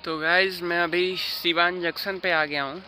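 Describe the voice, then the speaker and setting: A man's voice: sits high, steady tone, moderate pace, sharp tone, faint music behind. A young man chatting casually to viewers in a travel vlog, filming himself with a phone at arm's length on station platforms. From Bihar, India. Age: young adult. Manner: chatty, addresses viewers directly. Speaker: travel vlogger. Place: train stations and platforms at night, outdoors. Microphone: phone held at arm's length.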